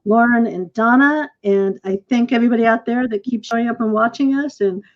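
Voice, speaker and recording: A woman's voice: fairly high pitch, brisk. A middle-aged woman hosting a casual post-show discussion as the director, effusive and appreciative in her thanks, speaking over a video call. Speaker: play director and host. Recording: muffled video call audio.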